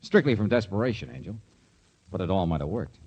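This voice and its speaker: An actor playing a cocky, wisecracking private detective in a 1950s radio drama, slangy and sardonic. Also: male voice, sits low, expressive, fast, a little mellow, husky, lo-fi sound. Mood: breezy, explaining.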